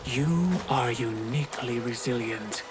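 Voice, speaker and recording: An actor playing a mysterious, scheming benefactor in a video game, speaking in a measured, grandiose and persuasive way. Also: male voice, medium pitch, lightly acted. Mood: grand, coaxing. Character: scheming mysterious male benefactor disguised as kindly old man. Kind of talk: dramatic video game cutscene acting. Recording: loud music over the voice.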